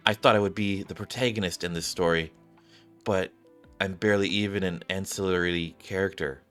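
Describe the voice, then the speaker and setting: A man reading as a deep-voiced wistful young dreamer. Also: fairly even intonation, a little fast, a bit bright, smooth, soft background music. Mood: earnest, rueful. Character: wistful young dreamer narrating their own story. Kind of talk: read-aloud scripted game narration.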